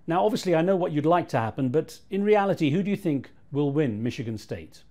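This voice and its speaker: A man interviewing a guest for a news channel, asking formal, measured questions. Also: a little high, expressive, mellow.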